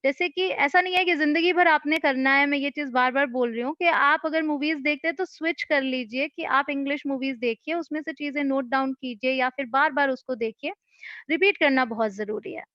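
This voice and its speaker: A woman's voice: sits high, brisk, no room sound, lo-fi sound. A woman coach patiently answering learners' questions and giving advice in an online session. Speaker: English-speaking coach.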